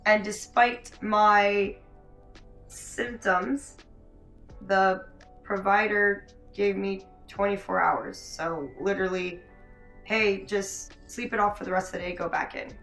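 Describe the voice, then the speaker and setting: A young woman with a raspy, hoarse voice, telling a rambling story in a casual vlog. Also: mid-range voice, fairly even intonation, takes it slowly, a bit bright. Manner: chatty, laughing, many likes and restarts. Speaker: vlogger. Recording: roomy echo, faint music behind.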